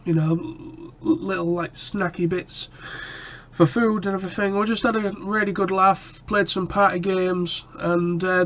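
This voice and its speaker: A man chatting casually to camera in a rambling way, his nose stuffed up and coughing from a cold. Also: high voice. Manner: rambling, lots of ums and restarts. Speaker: vlogger.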